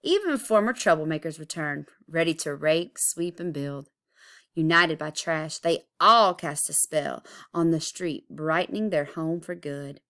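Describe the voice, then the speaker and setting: A woman reading a children's picture book aloud expressively, with deliberate, dramatic emphasis. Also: sits low, lively intonation, a bit bright. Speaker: storybook reader.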